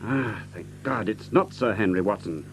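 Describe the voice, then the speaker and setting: An actor playing a shrewd, commanding gentleman detective in an audio drama, with an incisive, precise delivery. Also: fairly low pitch, lively intonation, moderate pace, soft background music. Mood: grave deduction.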